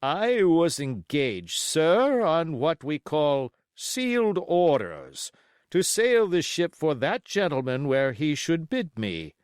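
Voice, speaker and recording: A stern, plain-spoken sea captain, as acted by a male audiobook reader. He bites off formal, emphatic phrases at a slow pace. His pitch leaps about stubbornly. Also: big pitch swings, smooth, no echo, clean studio sound. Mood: blunt, dissatisfied. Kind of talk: audiobook character acting.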